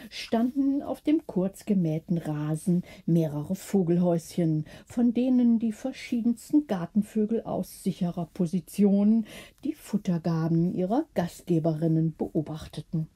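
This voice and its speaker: Female audiobook narrator for bedtime stories, very mellow and soft-edged, slightly husky, unhurried. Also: mid-range voice. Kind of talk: scripted bedtime story narration.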